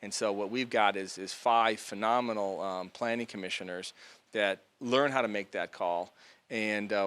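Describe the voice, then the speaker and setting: A man speaking as a city council member at a local meeting, in a measured, explanatory way before handing off to the next speaker. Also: lowish voice, fairly bright tone. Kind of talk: public talk to a local group. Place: meeting room.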